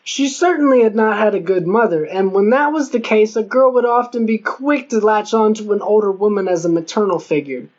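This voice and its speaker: A woman's voice: medium pitch, fairly quick, few pauses, a little mellow. Woman narrating a novel, dull lo-fi sound. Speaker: audiobook narrator. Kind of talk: scripted first-person novel narration. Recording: dull lo-fi audio.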